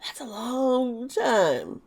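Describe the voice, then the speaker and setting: A hoarse woman who is losing her voice, talking through a tarot topic in a rambling, chatty way with sarcastic asides. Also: on the high side, sing-song, very slow, a little mellow. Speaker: tarot reader. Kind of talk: casual monologue.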